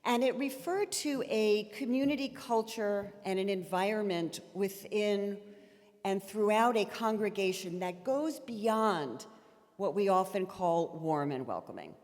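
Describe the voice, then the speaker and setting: A woman in her fifties addresses a congregation from the stage in a large sanctuary, and her voice trails into a long echo. She speaks with earnest emphasis and lets heartfelt pauses sit. Her pitch stays in an ordinary middle range. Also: lively intonation, a little mellow. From Miami, Florida. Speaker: congregational leadership chair giving a speech. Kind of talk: prepared speech from a stage.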